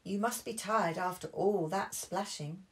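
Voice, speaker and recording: A woman's voice: medium pitch, measured pace, far from the mic, pitched above the performer's own voice, lightly acted, low-quality recording. A grandmother doing a tired, jolly daddy pig. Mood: coaxing.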